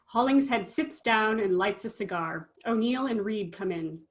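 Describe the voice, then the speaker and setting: A woman's voice: mid-range voice, moderate pace. A woman reading a play's stage directions as scripted narration.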